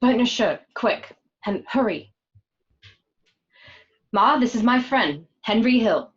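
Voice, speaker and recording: A woman's voice: a little high, expressive, slow, muffled recording. A woman playing a gangster's forthright young girlfriend in a read-through of a film script. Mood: hurried.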